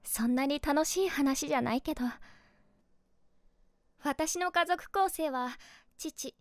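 A woman's voice: high voice, slow pace, no room sound, clean studio sound. A woman playing a sociable, polite streamer in her late twenties in a voice drama, speaking like someone hosting a livestream. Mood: modest. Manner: polite, addressing listeners like a livestream host. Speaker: voice actress in audio drama. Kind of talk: situation voice drama.

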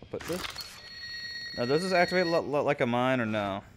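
A man's voice: medium pitch, expressive, fairly quick, noisy background. A man doing casual let's play commentary, sarcastic and often muttering to himself. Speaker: gamer, let's play host.

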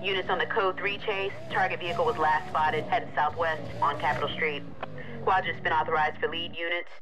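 Police dispatcher on noisy radio. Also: male voice, a little high, flat delivery, brisk. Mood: businesslike. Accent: American. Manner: procedural, clipped radio phrasing with hesitations. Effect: police radio filter. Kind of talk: video game police radio chatter. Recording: police radio sound over loud engine noise.

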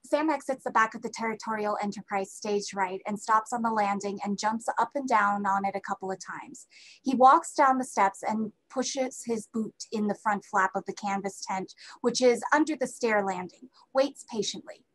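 A woman's voice: on the high side, moderate pace, fairly bright tone, rough recording. A woman reading out stage directions as scripted narration for a play. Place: indoors at home, on a group video call.

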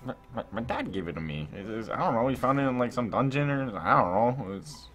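A man's voice: mid-range voice, a little fast, background noise, natural voice. A man playing a nervous young apprentice in a Minecraft roleplay, stammering and easily flustered. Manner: stammering, excitable. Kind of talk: improvised Minecraft fantasy roleplay.

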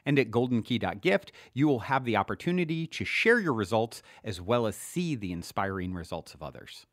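A man reading his own self-help book as scripted narration, earnest and motivational with deliberate emphasis. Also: fairly low pitch, big pitch swings, fairly bright tone, no room sound, clean studio sound.

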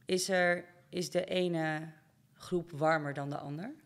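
A woman's voice: sits low, steady tone, takes it slowly. A woman hosting a talk show, asking questions in a casual, conversational way. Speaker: interviewer, talk show host. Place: large indoor hair salon. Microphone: studio microphone on stand, close in front.

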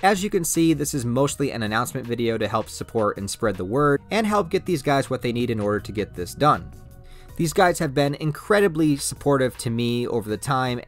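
A man hosting a YouTube channel, giving an informal, encouraging scripted promo narration. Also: medium pitch. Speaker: YouTube reviewer and channel host. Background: faint music.

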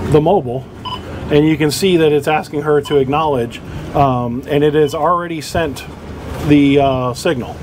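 A man's voice: a little high, background noise. A man hosting a boating channel, casually explaining equipment in a rambling way with lots of fillers and restarts, recorded on a boat. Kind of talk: hands-on equipment tutorial.

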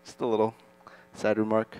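A young man hosts a student panel from a school auditorium stage into a handheld mic. His voice sits low and smooth, with a flat, even delivery, and the sound stays dry and close. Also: normal speed, no room sound, rough recording. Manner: casual, upbeat, with filler words. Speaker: panel moderator. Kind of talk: moderating a school chapel panel.

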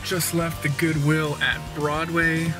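A man's voice: fairly high pitch, little pitch movement, takes it slowly, far from the mic, loud music behind the voice. A young man in his twenties, chatting casually with many fillers while driving his car. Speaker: vlogger. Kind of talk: casual travel vlog.

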